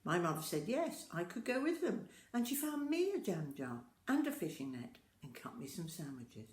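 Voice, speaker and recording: An older woman tells a children's story in a fairly low voice. Her pitch moves up and down in a very lively way as she addresses little listeners directly. The room adds a noticeable echo. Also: a little hiss or hum. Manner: addresses young listeners directly, deliberate and expressive. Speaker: storyteller reading aloud to children. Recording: roomy, echoing home-style recording.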